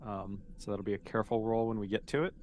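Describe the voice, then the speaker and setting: Low-voiced man running a tabletop game. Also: quick pace, darkish tone, slight background noise. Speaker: game master. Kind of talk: casual narrating of a tabletop roleplaying game.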